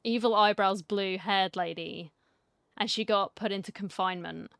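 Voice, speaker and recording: A woman's voice: mid-range voice, steady tone, moderate pace, vocal fry, a bit bright, clear, resonant tone, dry recording, clean studio sound. A woman, chatting casually as she plays and reacts to a video game. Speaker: gaming streamer. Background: game music.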